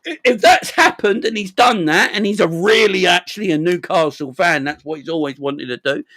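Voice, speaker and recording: A man hosting a casual football chat, rambling, animated and a bit sweary. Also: high-pitched, moderate pace, dry recording, clean studio sound. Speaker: football channel host.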